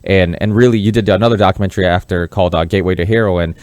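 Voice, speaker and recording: A man with a fairly deep voice hosts a conversational interview podcast. He talks earnestly and tends to ramble, and the sound is dry, free of room reflections. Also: fairly even intonation, rapid-fire, darkish tone, clean tone, dry recording. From Massachusetts, born in Portugal. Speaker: podcast host.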